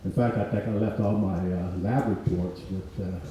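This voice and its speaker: Hoarse man in his eighties, halting speech with fillers, very low voice, heard from afar in an echoey hall with faint noise. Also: fairly even intonation, mellow, muffled recording. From Mississippi Delta, USA. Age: 80s. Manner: halting, many pauses and filler ums. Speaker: guest speaker. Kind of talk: informal speech at a reunion event. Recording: echoey hall audio, distant mic.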